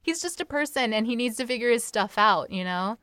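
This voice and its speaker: A woman's voice: fairly high pitch, lively intonation, brisk, fairly bright tone. A woman hosting a casual interview podcast, speaking as a playful, effusive fan. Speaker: podcast host interviewing an author.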